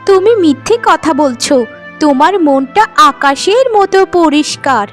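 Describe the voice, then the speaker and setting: A woman plays a magical fairy in a cartoon fairy tale. The voice is very high, kind and reassuring. Music runs behind it. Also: animated, unhurried. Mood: encouraging. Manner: caring, reassuring.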